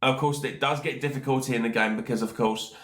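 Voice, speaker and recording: A man's voice: medium pitch, steady tone, quick pace, a bit bright, reverberant. Nasal, rambling man with a cold. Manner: rambling, many fillers and "of course". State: nasal from hay fever. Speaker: gaming channel host. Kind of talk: casual solo podcast talk.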